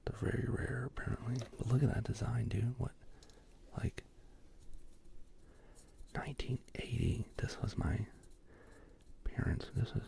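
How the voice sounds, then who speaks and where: Whispering man with a very creaky, gravelly voice. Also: medium pitch, dark tone. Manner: casual, rambling, slangy. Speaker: host. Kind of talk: whispered ASMR show-and-tell.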